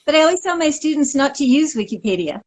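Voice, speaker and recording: A woman's voice: sits high, sing-song, quick pace, darkish tone. A woman in her sixties from Montreal, a guest speaking in a wry, thoughtful way in a casual interview over a video call from her living room. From Montreal, Canada. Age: 60s.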